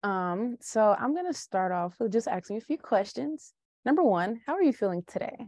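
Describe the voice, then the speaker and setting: A woman's voice: medium pitch, expressive, brisk, darkish tone, dry recording. Young woman hosting a chatty podcast. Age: young adult. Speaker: podcast host. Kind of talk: conversational interview.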